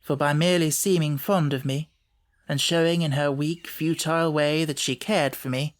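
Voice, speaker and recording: A man reading literary first-person narration in a measured, formal storytelling manner, as an audiobook narrator. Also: a little high, steady tone.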